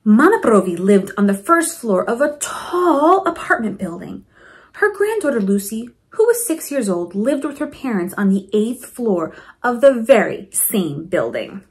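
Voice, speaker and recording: A woman reading a storybook aloud to children in an expressive, encouraging way, with teaching asides. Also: a little high, unhurried. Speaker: principal reading a picture book to children.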